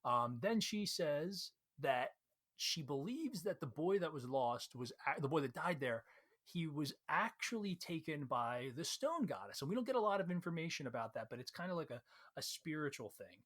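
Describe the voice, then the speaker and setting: A man giving a casual, conversational recap of a story as a read-along host, retelling it in an animated way. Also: on the high side, moderate pace.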